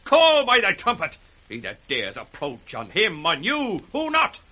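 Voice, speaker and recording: A man's voice: high-pitched, wildly swooping pitch, measured pace, pushed and bright, heavily acted. An actor playing a cold, ambitious, scheming young nobleman, theatrical and commanding, in 1960s radio Shakespeare. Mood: defiant fury. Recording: faint noise on old radio recording.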